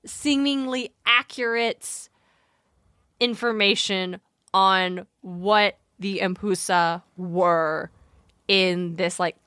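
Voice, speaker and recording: A woman's voice: medium pitch, fairly bright tone, clear, resonant tone, dry recording, studio quality. A woman co-hosting a podcast, chatting casually and informally about mythology as she thinks aloud. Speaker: podcast co-host.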